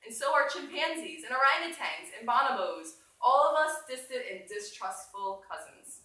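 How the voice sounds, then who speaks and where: A woman reading a storybook aloud as a proud, dignified, wry male gorilla, in a measured, solemn manner. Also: fairly high pitch, sing-song, bright, forceful tone, voice pushed up. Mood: proud, stately. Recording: echoey room from far off, faint noise.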